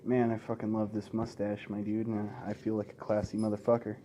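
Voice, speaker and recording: A man's voice: lowish voice, completely flat delivery, a little fast, mellow, a little hiss or hum. A man hosting a let's play in an informal, sweary way, mixing casual gaming commentary and asides with game text read aloud.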